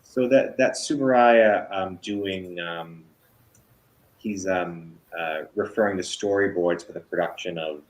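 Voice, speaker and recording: A man talking as an author guest in a casual interview, halting with many fillers and restarts. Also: deep voice, muffled recording.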